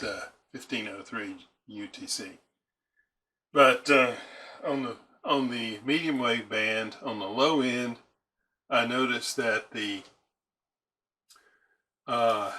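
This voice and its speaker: A man giving a casual, unscripted repair walkthrough as a hobbyist host, in a halting, hesitant way with pauses. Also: mid-range voice, sharp tone. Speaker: radio repair hobbyist hosting a how-to video.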